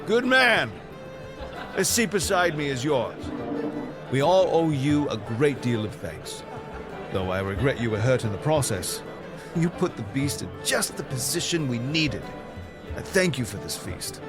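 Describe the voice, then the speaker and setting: A man's voice: medium pitch, big pitch swings, a little slow, over music. An actor playing a hearty, gracious medieval king in an audio drama, with a courtly, declamatory manner. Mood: jovial, proclaiming.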